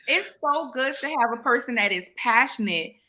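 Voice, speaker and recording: A woman in her forties hosting a casual chat show, animated and laughing often. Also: high-pitched, normal speed. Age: 40s. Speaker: podcast host.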